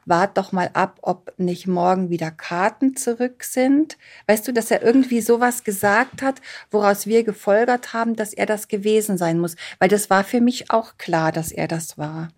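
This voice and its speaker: A woman's voice: medium pitch, moderate pace, few pauses, darkish tone. A middle-aged or older woman who sounds like a primary school teacher, casually recalling memories. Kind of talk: casual recollection.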